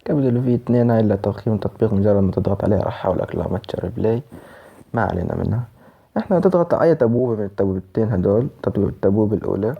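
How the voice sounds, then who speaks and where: A man's voice: on the low side, racing, vocal fry, slightly rough, lo-fi sound. A man with a Levantine Arabic accent presenting a casual app tutorial, explaining informally step by step. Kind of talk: casual spoken app tutorial for screen reader users.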